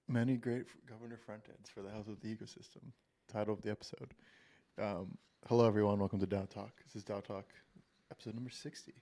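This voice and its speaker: Low-voiced man hosting a casual podcast. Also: flat delivery, fast talker, mellow. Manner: loose, joking, leads the talk. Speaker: podcast host.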